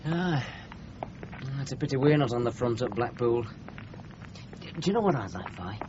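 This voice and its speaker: An actor playing a sensitive, hesitant young bridegroom with a Lancashire accent, earnest and wistful, in a radio drama. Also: male voice, mid-range voice, big pitch swings, moderate pace, natural voice. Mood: wistful, dreamy. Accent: Northern English, Lancashire. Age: young adult. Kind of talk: 1970s radio drama acting. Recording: dull, noisy old radio recording.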